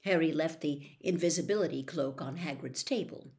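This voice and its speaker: A woman's voice: sits low, moderate pace, a little mellow. A woman reading a children's fantasy novel aloud as a storybook narrator. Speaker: audiobook reader.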